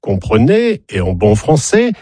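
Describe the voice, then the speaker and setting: A man's voice: fairly low pitch, wildly swooping pitch, measured pace, warm tone, husky, no room sound, studio quality. A man presenting a regional radio news bulletin in a scripted reading style, with wry, ironic asides.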